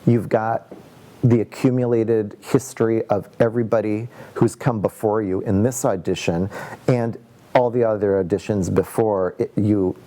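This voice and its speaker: A man's voice: on the low side, fairly even intonation, moderate pace, mellow. A man in a documentary interview, speaking as a casting professional, emphatic and exasperated, often restarting his sentences.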